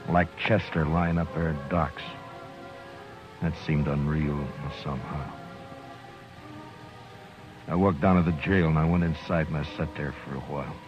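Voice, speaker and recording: A deep, grim frontier marshal. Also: male voice, fairly even intonation, a little slow, pauses often. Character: stern, quietly grim male frontier marshal. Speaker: marshal and narrator. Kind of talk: 1950s radio drama acting with narration. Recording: dull vintage radio broadcast, faint music.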